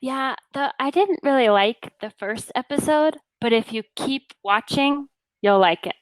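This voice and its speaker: A woman tutoring English over a video call, with a smooth, clear voice. She chats in an easygoing way, full of casual fillers. The sound is dry and close. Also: high-pitched, expressive, a little slow, no room sound, rough recording. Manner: easygoing and chatty, many fillers like yeah and you know. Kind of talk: casual conversation practice.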